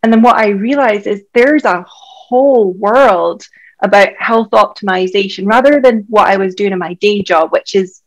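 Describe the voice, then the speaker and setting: A woman's voice: mid-range voice, moderate pace, lo-fi sound. Chatty Scottish woman, frequent fillers. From Scotland. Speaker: guest, doctor and health coach. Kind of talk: casual podcast conversation.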